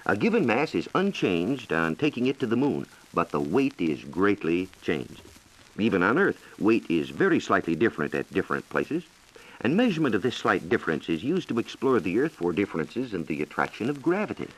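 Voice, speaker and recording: An American man explaining science in a measured, lecturing way, as a reporter in a scripted 1940s educational radio dialogue. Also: on the low side, sing-song, low-quality recording. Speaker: science reporter and guest expert.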